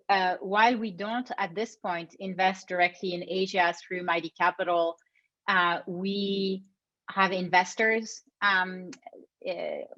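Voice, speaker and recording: Flat-voiced woman. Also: fairly low pitch. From France. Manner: measured, with soft fillers. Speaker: venture investor and founder. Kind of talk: panel discussion remarks. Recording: dull, muffled audio.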